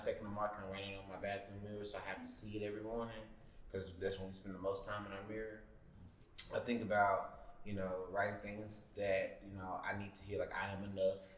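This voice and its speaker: A man sharing personal reflections in a group discussion, thoughtful and measured, with pauses. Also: on the low side. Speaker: panelist. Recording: echoey room, distant mic, faint noise.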